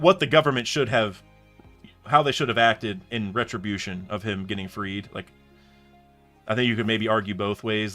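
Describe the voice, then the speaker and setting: A man's voice: on the low side, sharp tone. A young man chatting casually on a live stream, thinking aloud in rambling sentences full of restarts and fillers. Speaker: streamer reacting to a comic chapter.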